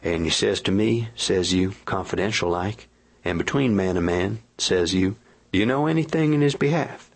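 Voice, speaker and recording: A man voicing a rough, earnest gold miner who speaks in a folksy frontier dialect as he pleads for his partner. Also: deep voice, moderate pace. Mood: earnest, pleading. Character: rough earnest male gold miner, awkward and plain-spoken.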